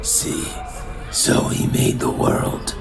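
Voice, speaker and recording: A man playing a broken, brooding villain in an audio drama, gravelly and breathy, speaking in a distant, trancelike way. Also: drawn-out words, over loud music. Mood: dreamy, haunted.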